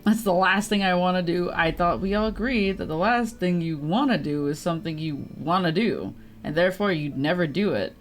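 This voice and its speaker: Female game streamer, animated and playful, rambling casually, light music underneath. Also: fairly low pitch, moderate pace, hardly any pauses. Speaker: livestreamer. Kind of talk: casual gaming livestream chat.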